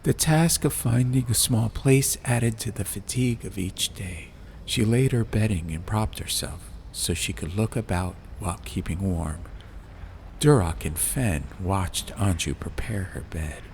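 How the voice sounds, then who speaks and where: A man's voice: on the low side, a little slow, gravelly, darkish tone. A man reading a scripted atmospheric storybook tale as an audiobook narrator. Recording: faint noise and ambience behind voice. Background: ambient background sound.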